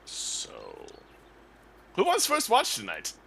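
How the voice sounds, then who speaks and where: A man's voice: on the low side, huge pitch swings, slow pace, creaky, sharp tone, a little hiss or hum. A man bantering casually as a player in a tabletop role-playing game.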